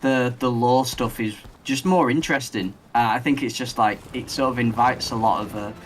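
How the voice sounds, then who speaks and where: A man hosting a casual podcast who rambles enthusiastically and thinks out loud as he talks. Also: medium pitch, moderate pace, slight background noise. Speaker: podcast host.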